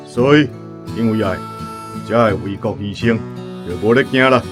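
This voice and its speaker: A man's voice: fairly low pitch, normal speed, over music, natural voice. A man with a Taiwanese accent playing a pompous, lecturing senior army officer in a radio drama.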